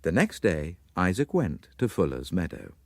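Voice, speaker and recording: A man narrating a literary story in a dramatic, measured and formal manner. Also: low voice, very animated, dry recording.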